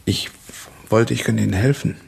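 An actor playing an evasive, casually ironic security guard with a slightly husky voice in a radio crime drama. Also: male voice, on the low side, normal speed, a little mellow, exaggerated voice.

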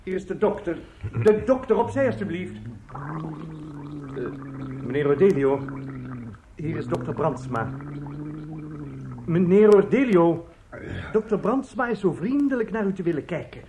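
A man's voice: high voice, lively intonation, measured pace, long pauses, noisy background. An actor playing a bustling, officious theatre man in a radio play, polite, fussy and hesitant, often repeating himself.

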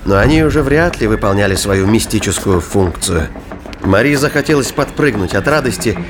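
Male thriller narrator over music. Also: mid-range voice. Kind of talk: scripted dramatic narration of a sci-fi thriller.

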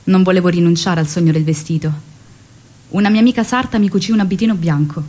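An actress playing a revolutionary woman in love, recalling her story in a measured, confiding, emotional stage monologue. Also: fairly low pitch, warm tone, lightly acted. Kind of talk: dramatic first-person stage monologue.

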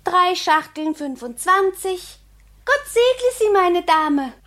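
A woman's voice: extremely high pitch, animated, takes it slowly, a bit bright, full character voice. A young woman in her twenties voicing an earnest little rich girl in a 1960s radio play, with a pleading sing-song child voice. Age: 20s.

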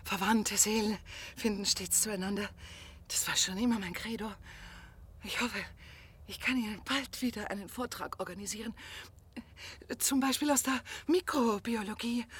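An actress playing a pushy, lovelorn provincial woman organiser in a radio drama, effusive, rambling and melodramatic, with a husky, breathy voice. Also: on the high side. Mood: pleading, flustered.

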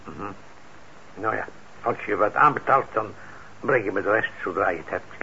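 An actor in a radio play as a kindly, trusting middle-aged shopkeeper, speaking gently and haltingly with patient encouragement. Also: male voice, fairly low pitch, lively intonation, creaky, exaggerated voice. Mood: patient, friendly. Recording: dull, noisy old radio recording.

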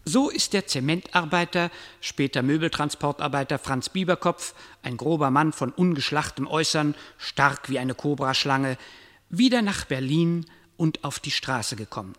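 An actor playing a detached, portentous storyteller, giving formal scripted narration in a radio drama. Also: male voice, on the high side, expressive, normal speed, a bit bright, big-room sound. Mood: solemn.

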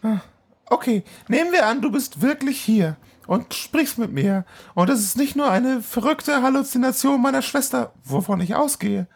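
A man's voice: very high-pitched, animated, normal speed, vocal fry, husky, voice pushed up, heavily acted. A man voicing a troubled, sarcastic young woman in a comic audio play, with a dramatic, incredulous manner.

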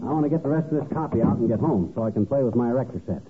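A man's voice: medium pitch, animated, very fast, close to an everyday voice. An actor playing a cocky, folksy American husband who wisecracks like an overgrown boy, in old-time radio comedy. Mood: breezy. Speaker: comic lead. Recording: tinny, noisy old radio recording.

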